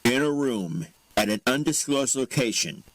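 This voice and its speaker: A man's voice: mid-range voice, expressive, moderate pace, no echo, rough recording. A man reading scripted third-person narration for a dramatic superhero audio story.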